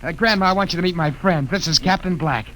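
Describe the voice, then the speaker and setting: An actor playing an eager, sentimental young lieutenant in a 1950s radio drama. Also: male voice, high-pitched, quick pace, a bit bright. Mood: happy. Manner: breathless and emotional. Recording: old radio broadcast with clear hiss.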